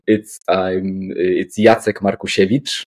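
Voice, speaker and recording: A man's voice: deep voice, level tone, slow pace, darkish tone. A man in his late thirties with a Polish accent, answering casually as an interview guest, hesitant and often repeating words. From Poland. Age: late 30s. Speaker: guest, artist and architect.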